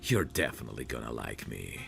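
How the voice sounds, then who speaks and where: A man playing a possessive, protective mafia boss in an intimate audio roleplay, self-assured and coaxing, with a gravelly voice. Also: big pitch swings, soft background music, full character voice. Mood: confident, seductive. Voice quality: very deep, gravelly. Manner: slow, deliberate, self-assured, coaxing with pet names.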